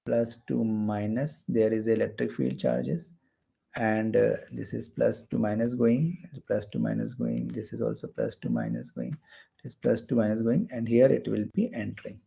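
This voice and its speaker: A man's voice: medium pitch, a little slow, vocal fry, warm tone. A man teaching physics in an explanatory lecture, repeating phrases for emphasis as he goes. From India. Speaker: physics teacher for exam preparation. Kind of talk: explanatory lecture while drawing diagrams.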